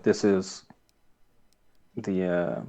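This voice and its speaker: A man's voice: deep voice, flat delivery, very slow, warm tone. A man co-hosting a podcast, recapping a show casually and somewhat hesitantly. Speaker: podcast co-host. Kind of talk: casual episode recap chat.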